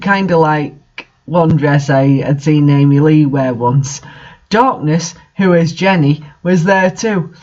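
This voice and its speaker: A man with a high-set voice reads and comments in a casual, mocking style, with exasperated disbelief. The recording is dull and lo-fi. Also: darkish tone. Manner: incredulous, exasperated asides. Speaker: reader and commentator of bad fan fiction.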